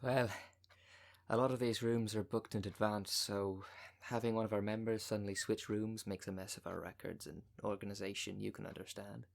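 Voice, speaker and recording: Man playing a low-voiced, officious female cult recruiter. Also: steady tone, normal speed, gravelly. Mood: polite, businesslike. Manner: formal, polite, businesslike with dry condescension. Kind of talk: scripted audio roleplay.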